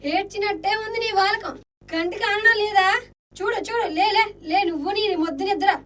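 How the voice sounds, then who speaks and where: Radio drama: hurried, scolding young housemaid, extremely high voice, off-mic. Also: female voice, fairly bright tone, recorded from a distance. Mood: scolding, urgent. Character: Rajanika, brisk scolding young maidservant. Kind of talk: Indian radio play acting.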